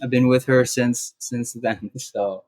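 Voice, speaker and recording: A young man from Maui, a house church pastor, sharing his story in a soft-spoken, halting way with many restarts. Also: medium pitch, fairly even intonation, dry recording. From Maui, Hawaii. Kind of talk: casual podcast conversation.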